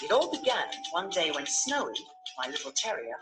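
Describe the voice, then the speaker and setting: A man playing an eager young reporter in a radio drama, telling his adventure briskly. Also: a little high, sing-song, rough recording. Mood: brisk, dramatic. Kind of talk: British radio drama narration and dialogue. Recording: radio drama with loud music. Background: music.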